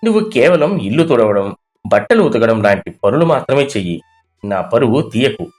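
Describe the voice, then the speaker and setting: A stern, aggrieved young husband in a storybook family drama, acted by a man. His mid-pitched voice rises and falls a lot as he reproaches, over music. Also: sing-song, normal speed, darkish tone, natural voice.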